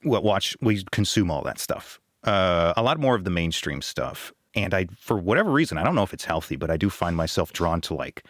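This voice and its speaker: A man co-hosting a podcast in casual chat, animated, laughing loudly and trailing off mid-thought. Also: low-pitched, a little fast, hardly any pauses, no room sound, studio quality. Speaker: podcast co-host.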